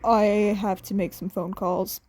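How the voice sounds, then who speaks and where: Woman putting on a weary, blunt male hero, lowered voice. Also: steady tone, measured pace, a little mellow, natural voice. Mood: flat, serious. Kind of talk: fan fiction audiobook character voice.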